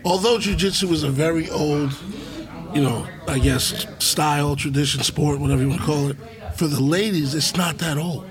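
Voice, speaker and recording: Rambling co-host with a raised voice. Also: male voice, on the high side, normal speed, a crowd in the background, poor audio. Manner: rambling, restarts, casual swearing. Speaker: podcast co-host. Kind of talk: casual podcast talk.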